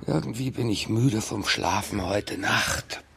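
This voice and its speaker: A man's voice: on the low side, a little slow, vocal fry, fairly bright tone. An actor playing a whimsical, sleepy middle-aged father who banters hesitantly in a children's radio play. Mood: drowsy, playful.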